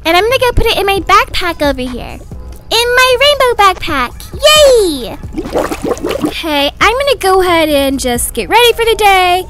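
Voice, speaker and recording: A woman voicing an excitable, squabbling little child getting ready for school in a roleplay story. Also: very high voice, normal speed, music in the background. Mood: happy.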